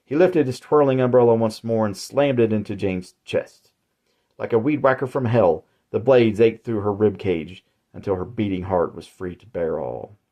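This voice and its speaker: A man narrating his own horror story in a measured, deliberate way. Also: lowish voice, warm tone, highs cut off. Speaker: author and narrator.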